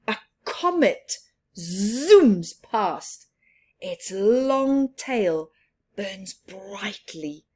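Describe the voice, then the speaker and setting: A woman reading a children's picture book aloud, expressive, with soft laughs and whispered asides. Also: mid-range voice. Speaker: storyteller.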